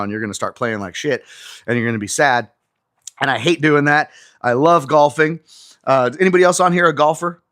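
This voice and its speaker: A man's voice: medium pitch, a bit bright, dry recording, studio quality. A man in his forties hosting a casual live stream from his garage gym, chatting with viewers and reading out their comments while he stretches. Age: 40s. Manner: chatty, talks straight to viewers, reads out comments. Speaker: livestream host. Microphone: phone camera on an auto-tracking mount.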